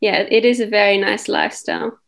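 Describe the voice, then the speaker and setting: A Dutch-born woman in her twenties, with a mixed Australian and London accent, chatting relaxed as a podcast guest with many ums. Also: medium pitch, quick pace, gravelly. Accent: Australian-English mix. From Netherlands. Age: 20s. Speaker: guest model. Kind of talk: casual interview chat.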